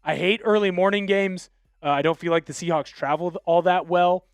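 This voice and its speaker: A man's voice: high-pitched, fairly even intonation, brisk, vocal fry, smooth, dry recording. A young man from Washington State hosting a live football talk stream, opinionated and fired up as he reads out viewer texts. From Washington State, USA. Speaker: sports show host.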